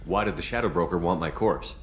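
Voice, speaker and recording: A man voicing a calm, direct starship commander in a video game cutscene. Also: sits low, fast, a little hiss or hum, natural voice. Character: steady male starship commander.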